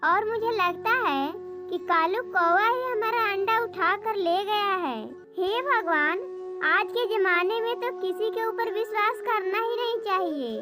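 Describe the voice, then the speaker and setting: Woman doing a very high-pitched little female cartoon bird. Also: a little slow, music in the background. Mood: distressed, resolute. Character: small female cartoon birds, wives and mothers. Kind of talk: children's animated moral story dialogue.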